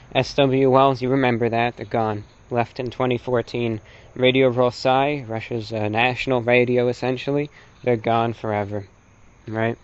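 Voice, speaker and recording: A man with an American accent gives an unscripted, opinionated lecture in a halting, emphatic way, repeating short phrases for effect. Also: medium pitch, muffled recording. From North America. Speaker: presenter, shortwave radio enthusiast.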